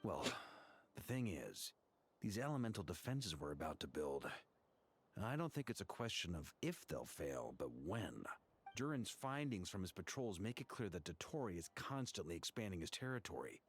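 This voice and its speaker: An actor voicing a seasoned, pragmatic knight commander in a video game, talking in a measured, informal way. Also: male voice, low voice, very animated, slightly rough, heavily acted. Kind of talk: scripted video game dialogue acting.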